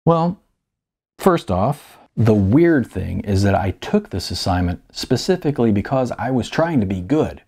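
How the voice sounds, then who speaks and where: A man voicing a weary, self-deprecating writer of almost fifty, halting and sardonic, in an audiobook. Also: on the low side, sing-song, darkish tone, husky, full character voice. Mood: sheepish, defensive.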